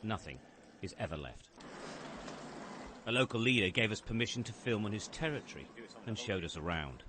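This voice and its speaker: A man reporting as a news correspondent in a measured, grave tone, narrating scripted news. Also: on the low side, lively intonation. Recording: outdoor location with loud background noise.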